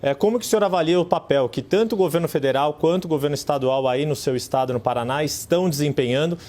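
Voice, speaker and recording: A man's voice: a little high, fast, darkish tone. A man who is a news reporter, asking long, polite, detailed questions in a live interview.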